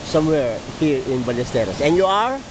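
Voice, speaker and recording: A man's voice: a little high, animated, fairly quick. A man with a Filipino accent interviewing people on a beach in a chatty, teasing way and mixing in Tagalog words. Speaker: amateur video interviewer. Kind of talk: casual on-the-spot interview. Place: outdoors on a sandy beach. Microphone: camera he holds himself. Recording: camera mic, clear surf noise.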